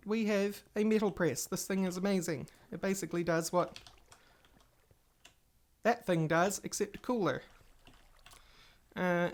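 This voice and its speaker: A woman hosting a casual game playthrough, chatting and rambling to viewers while she plays, a little stuffy from a cold. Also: fairly low pitch. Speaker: gaming channel host. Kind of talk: casual Let's Play commentary.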